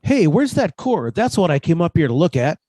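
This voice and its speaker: An actor playing a weary, inquisitive oil-field geologist in casual, clipped radio drama dialogue. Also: male voice, on the high side, very animated, normal speed, warm tone, slightly rough, dry recording. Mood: curious, puzzled.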